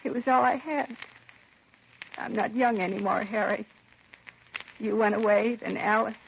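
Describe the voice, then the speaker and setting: An older, possessive mother under suspicion in a vintage radio crime drama. Her voice is creaky and intense, quick to take offence. The recording is thin and tinny, with a light hiss. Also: medium pitch. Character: possessive, defensive older mother confessing to murder. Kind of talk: 1950s radio drama acting.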